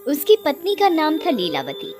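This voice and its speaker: A woman's voice: high-pitched, wildly swooping pitch, normal speed, over music. A woman narrating a scripted moral story as a storyteller.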